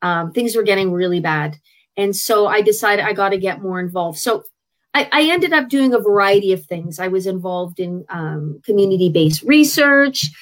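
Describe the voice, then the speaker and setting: A woman's voice: medium pitch, animated, moderate pace. Frank middle-aged Canadian woman. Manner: frank, conversational, with hesitant restarts. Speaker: interview guest, traditional healer. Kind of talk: candid personal storytelling.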